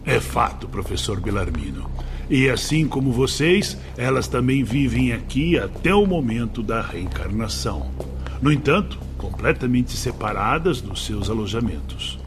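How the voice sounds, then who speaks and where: An actor playing a stern male spirit instructor who lectures his students gravely in a radio drama. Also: mid-range voice, animated, normal speed, natural voice. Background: music.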